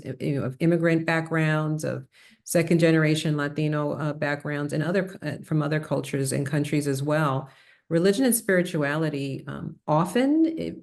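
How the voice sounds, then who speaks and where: A woman's voice: low voice, gravelly, a little mellow. A middle-aged woman with a slight New York-area accent, speaking thoughtfully in a conversational interview as a psychiatrist guest, with frequent fillers and restarts. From Puerto Rico, raised New Jersey and New York.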